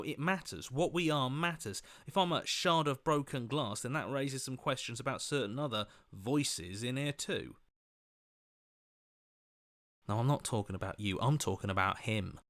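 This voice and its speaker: An actor playing an anxious, earnest heroic inner voice in a video game, questioning things in a hesitant, worried way. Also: male voice, mid-range voice, animated, moderate pace, few pauses, fairly bright tone. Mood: indignant, hurt. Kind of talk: video game character acting.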